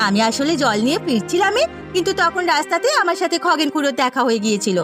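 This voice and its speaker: A woman's voice: high voice, sing-song, quick pace, over music. A woman voicing a poor, earnest and deferential village girl, an elder sister in a cartoon story. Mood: weary explaining.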